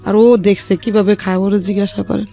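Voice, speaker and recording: A woman's voice: medium pitch, little pitch movement, brisk, warm tone, soft background music. A middle-aged woman with a colloquial Bangladeshi accent giving a rambling, plain-spoken personal account as an interview guest. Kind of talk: personal life-story account.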